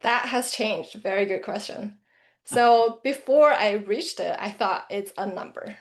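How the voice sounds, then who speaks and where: A woman's voice: mid-range voice, a little slow. Earnest woman with a Chinese accent. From central China. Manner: earnest, reflective. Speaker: financial planner, guest. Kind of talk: interview answers.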